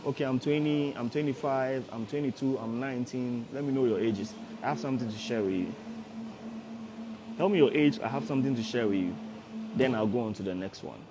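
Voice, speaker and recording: A man's voice: medium pitch, brisk, noisy background. A man in his thirties, a forex trader hosting a casual livestream, who repeats himself and coaxes viewers directly. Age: 30s. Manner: repetitive, coaxing, addresses viewers directly. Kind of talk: casual motivational livestream talk.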